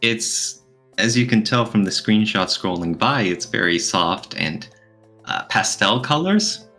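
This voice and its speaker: Low, bright-voiced man discussing on a podcast. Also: expressive, unhurried, soft background music. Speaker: podcast co-host. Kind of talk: casual podcast discussion, reviewing.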